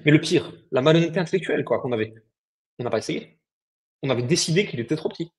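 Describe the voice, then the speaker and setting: A man's voice: a little high, lively intonation, fast talker. A man co-hosting a business podcast, explaining his points bluntly in casual, slangy chat. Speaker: podcast co-host, agency founder.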